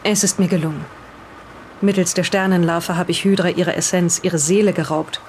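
Someone voicing an earnest, defensive island resident in an improvised radio play, speaking deliberately as if reading aloud. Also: medium pitch, steady tone, a little hiss or hum, natural voice. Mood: solemn reading.

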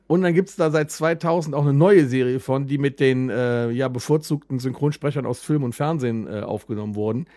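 A man's voice: mid-range voice, brisk, hardly any pauses, dark tone, dry recording, studio quality. A man chatting casually and enthusiastically as a podcast host, in colloquial language. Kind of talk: casual chat.

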